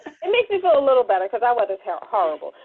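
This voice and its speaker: A woman's voice: on the high side, very animated, rapid-fire, slight background noise. A woman co-hosting a podcast with casual, wry, joking banter. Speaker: podcast co-host. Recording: muffled, band-limited lo-fi audio.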